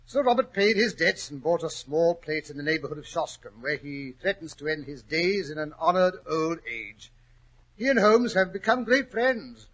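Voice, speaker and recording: A genial English gentleman spins his tale in 1940s radio style. His voice is husky and fairly high, with a bright edge. He is chatty and courteous, and the old recording shows its age. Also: lively intonation, moderate pace, poor audio. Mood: genial, relishing.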